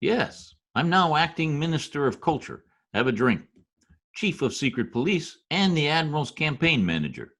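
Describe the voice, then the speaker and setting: Radio drama schemer male actor: glib, cynical politico, rough husky voice, lively intonation. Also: fairly high pitch, moderate pace, full character voice. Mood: brisk, glib. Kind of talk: old-time radio drama acting. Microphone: video call.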